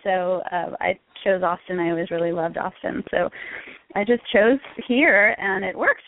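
A woman's voice: fairly low pitch, normal speed, no echo. A middle-aged American woman from Connecticut speaking conversationally as an interview guest and health coach. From Connecticut, United States. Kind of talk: conversational interview answers, telling own story. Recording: tinny narrow-band phone line.

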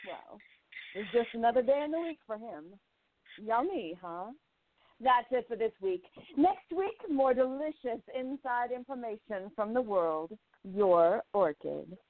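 An arch woman with a lively, bouncing voice who savors every juicy detail of her gossip column. The recording is narrow and phone-like. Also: mid-range voice. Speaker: narrator and lead player, gossip columnist. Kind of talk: radio play narration and acting.

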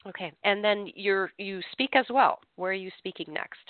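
A woman's voice: medium pitch, moderate pace, no echo. A woman host steers an interview with short questions. Her voice sits in a middle register. The sound is dry and tinny, like a phone call. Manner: brief, prompting questions. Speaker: podcast host.

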